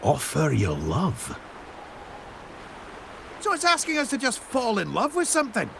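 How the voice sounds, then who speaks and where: An actor playing a gruff, excitable old seafaring man with a Scottish accent and gravelly voice in a video game. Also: sits high, measured pace, slight background noise, exaggerated voice. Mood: grumbling. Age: older. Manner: folksy, exclamatory, blustering. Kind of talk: theatrical game voice acting.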